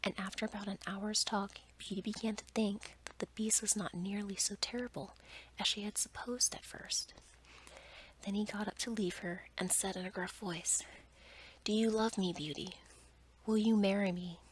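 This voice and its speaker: Woman whispering an asmr storybook, breathy n soft, pretty monotone, bit of background noise. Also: medium pitch, rough recording. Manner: soft, measured read-aloud delivery.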